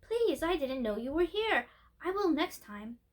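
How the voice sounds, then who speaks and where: Woman playing a high-voiced, timid little princess. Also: sing-song, measured pace. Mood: apologetic. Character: little princess girl, timid, polite, earnest.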